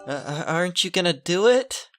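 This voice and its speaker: Adorable young witch girl, played by a man, pitched well up, sweet and coy, game dialogue over music. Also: lively intonation, moderate pace. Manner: coy, hesitant, cutesy. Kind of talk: visual novel character voice.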